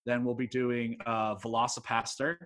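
A man in his late twenties from Texas chats as a guest on a podcast. He talks quickly and eagerly, rambling through his plans with plenty of "like" and "uh". His pitch sits in the middle of a man's range. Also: flat delivery, a bit bright. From Texas, USA. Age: 20s. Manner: enthusiastic and rambling, heavy use of "like" and "uh". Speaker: podcast guest, host of a film podcast. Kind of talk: casual podcast chat.

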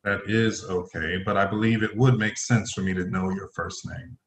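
A man playing a stern, formal middle-aged company executive in a play reading, halting and stumbling over his phrases. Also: fairly low pitch, steady tone, slight background noise, lightly acted. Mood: formal, guarded. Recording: roomy echoing voice.

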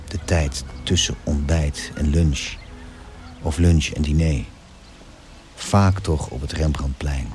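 An actor playing a melancholy hotel owner in a radio drama, looking back after the war in wistful, measured narration. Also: male voice, rumbling low, darkish tone. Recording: music under the voice.

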